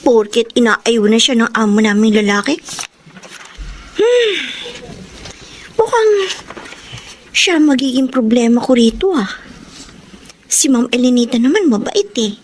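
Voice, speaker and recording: A woman playing a humble, dutiful housemaid in a radio drama, earnest and expressive, with a Filipino accent. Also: fairly high pitch, a little slow, pauses often, a little hiss or hum. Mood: puzzled, resentful.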